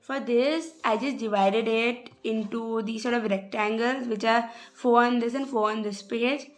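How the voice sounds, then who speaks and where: Young woman with a slightly rough voice. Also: a little high, steady tone, a little slow, soft background music. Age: young adult. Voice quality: hesitant, many "sort of" fillers. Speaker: journal vlogger. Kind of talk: casual craft walkthrough voice-over.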